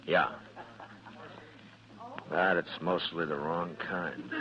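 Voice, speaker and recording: An actor playing a steady, weary frontier marshal in a radio Western, with a measured, probing, laconic manner. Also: male voice, a bass voice, crowd noise, full character voice. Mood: concerned, fatherly. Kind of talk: 1950s radio Western acting with first-person narration. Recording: dull vintage radio recording with music.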